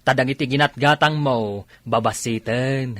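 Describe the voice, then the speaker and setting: An actor playing a stubborn, grumbling, defensive husband in an Ilocano radio comedy drama. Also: male voice, medium pitch, moderate pace, fairly bright tone, dry recording, natural voice.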